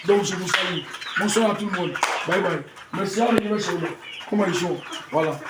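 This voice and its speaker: A man's voice: sits high, moderate pace. A man in his fifties with a Malian French accent, a poultry breeder talking proudly to camera on his farm, repeating himself and restarting his words. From Mali. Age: 50s. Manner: proud, repetitive, stumbling restarts. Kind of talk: farm tour talk to camera. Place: poultry farm with clucking hens. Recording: echoey, far from the mic, noisy farm.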